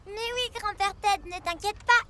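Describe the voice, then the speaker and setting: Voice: very high voice, normal speed, background noise. A performer voicing an eager, childlike young truck in a French children's cartoon, full of exclamations. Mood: earnest. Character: eager young child mini truck, excitable and sometimes anxious. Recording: music behind the voice.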